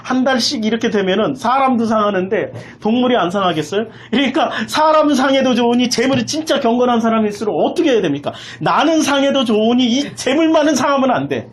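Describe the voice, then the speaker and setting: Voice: medium pitch, expressive, fast talker, hardly any pauses. A pastor preaching an impassioned sermon, emphatically repeating phrases and putting rhetorical questions in a raised voice.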